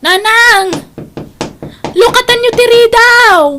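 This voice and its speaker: A high, girlish voice suits this young woman played by an actress. She is an affectionate, dutiful daughter in a family audio drama, speaking with open feeling. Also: slow pace, sharp tone, a little hiss or hum, full character voice. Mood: anxious, impatient. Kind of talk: audio radio-style drama acting.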